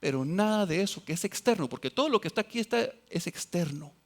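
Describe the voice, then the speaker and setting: A man with a fairly high voice preaches a sermon, swinging between loud exclamations and quiet, low asides. He quotes scripture and acts out the voices of other people as he goes. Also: expressive, fast. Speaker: pastor.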